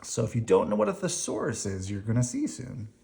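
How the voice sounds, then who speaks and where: A person reading a children's picture book aloud expressively, with dramatic emphasis, pauses and brief explanatory asides. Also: medium pitch, wildly swooping pitch, gravelly, dark tone. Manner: dramatic emphasis, pausing between phrases.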